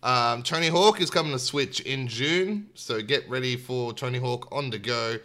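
Aussie guy doing a gaming podcast, bright punchy voice, jokey and informal. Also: mid-range voice, moderate pace. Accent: Australian. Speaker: podcast host. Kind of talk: casual gaming podcast chat and news rundown.

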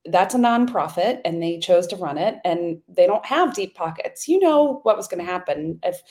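A woman's voice: fairly low pitch, animated, normal speed, a little mellow. A woman co-hosting a podcast, telling a story in casual chat with many ums and restarts. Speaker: podcast co-host.

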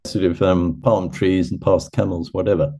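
A middle-aged man originally from Zimbabwe tells his stories in a chatty, self-deprecating way. His voice sits very low and sounds warm and mellow. He often breaks into a laugh at his own tales. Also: steady tone, normal speed, breathy edge. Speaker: podcast guest, ultra runner. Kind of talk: casual storytelling interview.

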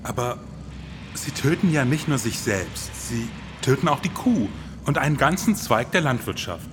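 A man's voice: very animated, normal speed, close to an everyday voice. A man acting an anxious podcaster on a perilous expedition in a scripted radio play. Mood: concerned. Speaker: podcast performer. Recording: noisy sound-effect background, vehicle-like rumble.

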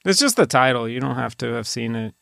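A man's voice: mid-range voice, expressive, fast talker, gravelly, a bit bright, dry recording, studio quality. A man hosting a casual podcast, bantering in a rambling, dryly joking way. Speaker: podcast host.

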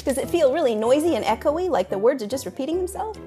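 A woman playing a pleasant, insistent pitchwoman in an improvised spoof radio commercial. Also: lively intonation, quick pace, music in the background. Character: nice, insistent commercial pitchwoman repeating questions.

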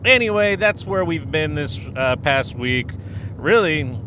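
A man's voice: lively intonation, a little slow. A man hosting a casual solo podcast, rambling, correcting himself and laughing at his own jokes, his nose and throat still stuffy after a cold. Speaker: podcast host. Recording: noisy with background rumble.

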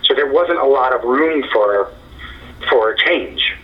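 A man's voice: medium pitch, animated, a little slow, recorded from a distance, highs cut off. A man in his forties, a screenwriter being interviewed, talking thoughtfully with many fillers and restarts. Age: 40s. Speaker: screenwriter guest. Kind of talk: interview conversation.

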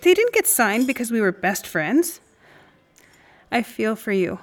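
A woman's voice: on the high side, sing-song, a little slow. A woman playing a candid, indignant friend in a naturalistic audio drama conversation. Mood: candid, critical. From Canada, Saskatchewan.

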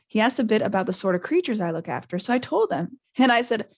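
A man's voice: high-pitched, sing-song, quick pace, slightly rough, full character voice. A woman voicing a big, simple-hearted male gamekeeper who rambles informally, boasts and blurts things out in a storybook reading. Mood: boastful, rambling.

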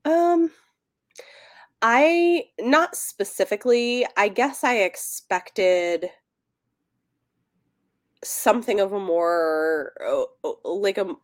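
A woman's voice: mid-range voice, animated, slow, pauses often, dry recording, studio quality. A woman co-hosting a podcast in a casual book discussion, speaking tentatively and often correcting herself as she goes. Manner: hesitant, self-correcting, tentative phrasing. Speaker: podcast co-host.

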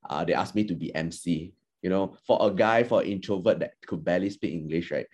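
A man's voice: low voice, fairly quick, darkish tone, husky, dull audio. A man whose first language is Chinese, an alumni panellist and trainer, giving earnest, encouraging advice with many fillers and restarts on a video call. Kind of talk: panel discussion answer.

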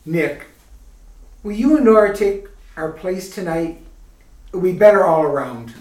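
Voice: mid-range voice, expressive, unhurried, a little mellow, lightly acted. An actor playing a nervous young male groom in a 1940s-style radio play, with earnest, anxious pleading. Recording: echoey room, distant mic.

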